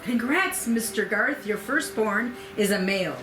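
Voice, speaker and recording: A woman's voice: mid-range voice, animated, a little slow, natural voice. A woman playing a matronly midwife in her thirties, speaking urgently in a screenplay table read. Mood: announcing. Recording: echoey room with music.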